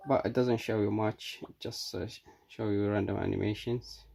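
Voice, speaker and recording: A man's voice: fairly low pitch, steady tone, moderate pace, a little mellow, faint music behind. A man giving a casual, unscripted product demonstration as a reviewer, hesitant and thinking aloud as he goes. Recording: clearly noisy background with clicks.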